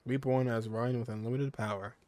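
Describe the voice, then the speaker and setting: A man's voice: mid-range voice, steady tone, brisk, darkish tone, no echo. A man streaming a game, giving casual, offhand commentary and reading on-screen text. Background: game music.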